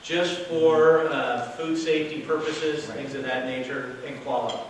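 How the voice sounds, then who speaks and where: Loud presenter in a very echoey room. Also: male voice, fairly high pitch, fairly even intonation, unhurried. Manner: loud projecting voice, no microphone; casual, chatty, with fillers. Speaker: hotel sales director. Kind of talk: workshop presentation to a live audience. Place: meeting room with an audience. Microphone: distant camera, no microphone. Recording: very echoey room from far off with noise.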